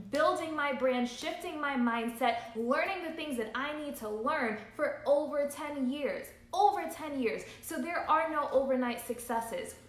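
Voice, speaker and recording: A woman's voice: sits high, fairly bright tone, a little hiss or hum. Earnest woman in her thirties, roomy echo. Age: 30s. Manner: earnest and emphatic, repeats key points. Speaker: business coach. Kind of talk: motivational livestream talk.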